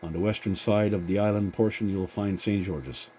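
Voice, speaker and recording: A man chatting as a CB radio operator in a courteous, halting manner. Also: low-pitched, steady tone, heavy background noise. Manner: courteous, halting with many hesitations. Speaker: CB radio operator and channel host. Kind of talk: casual two-way radio chat.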